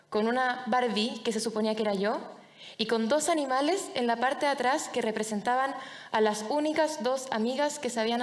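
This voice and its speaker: A young woman with a Chilean accent tells a personal story as a public speaker, in short, deliberate phrases with dramatic pauses. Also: on the high side. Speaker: public speaker, anti-bullying foundation director. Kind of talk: personal storytelling talk to an audience. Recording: reverberant large hall.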